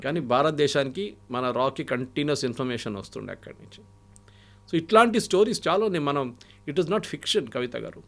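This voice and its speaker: A man's voice: medium pitch, lively intonation, brisk, vocal fry. A man with an Indian accent giving political analysis and anecdotes in an interview, switching between Telugu and English phrases. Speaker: guest commentator and analyst.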